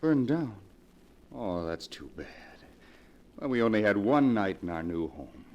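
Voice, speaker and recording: A man's voice: lowish voice, big pitch swings, a little mellow, highs cut off. Bewitched husband, wildly swinging delivery. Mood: dazed. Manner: halting, intense, theatrical. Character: bewitched, suspicious, unhinged husband possessed by spirit. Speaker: radio drama actor. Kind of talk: 1940s melodramatic radio acting. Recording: vintage 1940s radio recording.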